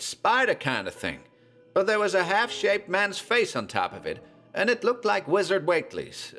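A man's voice: medium pitch, bright, forceful tone, voice pushed up. A man voicing a shaken rural man who speaks in panicked, babbling bursts.

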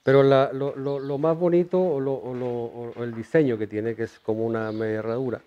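A Chilean man in his fifties, a horse trainer, telling stories in an outdoor ranch interview, trailing off softly and restarting his phrases. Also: mid-range voice, steady tone, a little fast, lo-fi sound. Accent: Chilean Spanish with Mexican influence. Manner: warm storyteller, many restarts, trails off softly. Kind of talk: storytelling interview. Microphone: lapel.

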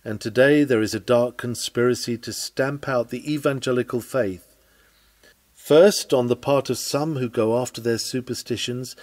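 A man's voice: medium pitch, a little mellow. A man reading an old-fashioned sermon aloud in an earnest, declamatory preaching manner. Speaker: sermon reader.

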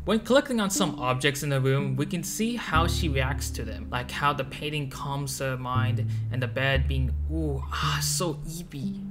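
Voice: mid-range voice, a little slow, few pauses. A video essay host narrating from a script in a casual, joking manner. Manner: casual, joking, with playful exclamations. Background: music behind the voice.

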